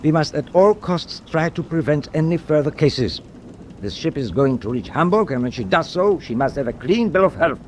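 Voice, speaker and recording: An actor playing an imperious German wartime sea captain in a radio drama, curt, commanding and impatient. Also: male voice, a little high, expressive, normal speed, darkish tone, a little hiss or hum, close to an everyday voice. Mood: grave, commanding.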